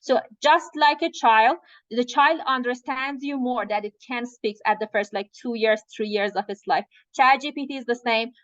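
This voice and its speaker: Higher-pitched woman, Farsi native, explaining patiently over a dry, muffled call line. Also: moderate pace, fairly bright tone, dry recording. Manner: explanatory, frequent fillers. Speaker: coach. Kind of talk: live online training.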